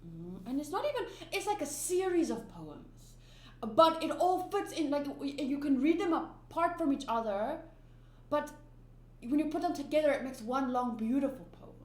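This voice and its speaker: A woman's voice: high-pitched, sing-song, normal speed, a little mellow. Rambling woman in a roomy space. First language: Afrikaans. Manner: rambling, emphatic, many restarts and fillers. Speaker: anime reaction YouTuber. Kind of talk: casual reaction commentary. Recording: roomy echo with faint hum.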